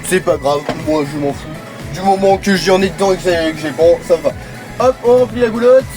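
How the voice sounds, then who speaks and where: A man's voice: sits high, brisk, music in the background. A young man with a cold and a stuffy nose, chatting casually and hesitantly as he films his farm work from a tractor. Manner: chatty, lots of hesitations and repeats. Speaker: farm vlogger. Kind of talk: casual running commentary while working. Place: tractor cab and farmyard outdoors.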